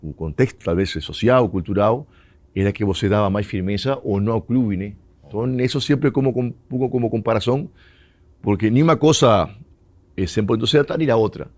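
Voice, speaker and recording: Uruguayan ex-footballer, native Spanish speaker, low voice, storytelling guest. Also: male voice, a little fast, a little mellow. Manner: mixes Portuguese and Spanish, laughs often. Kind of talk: storytelling interview.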